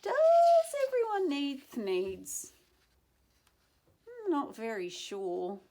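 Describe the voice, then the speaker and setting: A woman reading a children's story aloud expressively, with dramatic pauses and asides to young listeners. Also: high-pitched, huge pitch swings, mellow. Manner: dramatic pauses, drawn-out phrasing.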